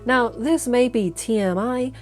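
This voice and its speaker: A woman's voice: on the high side, a little slow, soft background music. A woman delivering a scripted film review in an earnest, opinionated way. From United Kingdom.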